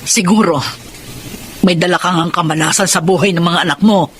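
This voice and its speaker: A woman's voice: fairly low pitch, fairly even intonation, moderate pace, slight background noise, close to an everyday voice. Woman acting a blaming, estranged mother. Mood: accusing, regretful. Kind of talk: emotional radio drama acting.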